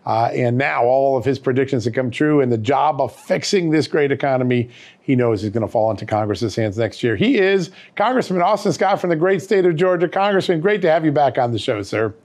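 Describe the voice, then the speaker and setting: A man's voice: medium pitch, lively intonation, fairly quick, darkish tone. A middle-aged American man hosting a political program, talking in an emphatic, folksy broadcast style. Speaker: host and interviewer. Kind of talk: political interview and sponsor ad reads.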